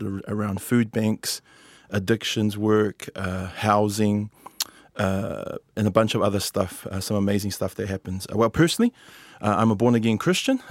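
Low-voiced New man, halting speech. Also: no room sound, clean studio sound. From New Zealand. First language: Samoan. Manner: hesitant, many filler sounds and restarts. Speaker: lawyer and policy advisor, podcast guest. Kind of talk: casual conversational introduction.

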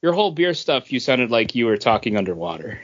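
A man speaks casually as one of a podcast's co-hosts. His voice sits at a middle pitch. The sound is a bit muffled and lo-fi. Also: very animated, fairly quick. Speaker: podcast co-host. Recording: slightly muffled, lo-fi audio.

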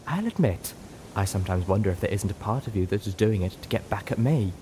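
A man voicing a refined female unicorn with a prim, measured and cutting way of talking, in an audiobook. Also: lowish voice, lively intonation, warm tone, slight background noise, natural voice. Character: refined female unicorn, sharp-tongued then kind.

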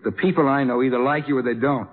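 An actor playing an earnest, awkward American jazz musician, plain-spoken and halting, in 1950s radio drama style. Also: male voice, mid-range voice, steady tone, soft background music, close to an everyday voice. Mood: hurt, indignant. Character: earnest, awkward, lovestruck male jazz trumpet player. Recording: tinny, narrow old radio broadcast.

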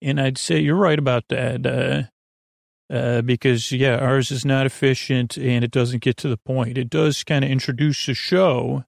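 A man hosting a sleep podcast in a creaky voice, with a meandering, halting monologue full of repeats and tangents. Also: medium pitch, steady tone, no room sound, studio quality. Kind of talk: rambling bedtime monologue.

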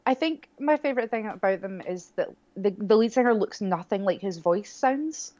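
A woman's voice: mid-range voice, expressive, moderate pace, a little mellow. A young woman co-hosting a podcast, chatting casually with a wry, self-deprecating humour. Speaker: podcast co-host. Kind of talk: casual chat. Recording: dull lo-fi sound.

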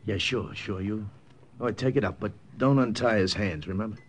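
A man's voice: on the low side, moderate pace, slight background noise, natural voice. An actor playing a tough, wry undercover agent who narrates his mission in clipped old-time radio drama style. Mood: businesslike, terse. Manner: clipped, hard-boiled, wry. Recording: old radio recording with loud music.

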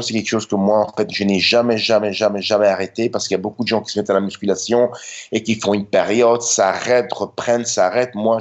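A man in his fifties with a Belgian French accent and a somewhat low voice talks through his memories in a loose, rambling way, dropping in easy filler tags. The sound is slightly muffled and lo-fi, without much crispness at the top. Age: 50s. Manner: rambling, chatty, frequent tag words. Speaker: podcast guest. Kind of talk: casual storytelling interview.

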